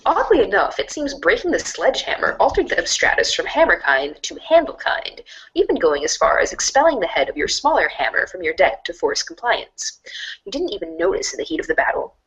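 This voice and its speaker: A woman narrating a second-person storybook in an expressive, wry way. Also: medium pitch, fairly quick, poor audio.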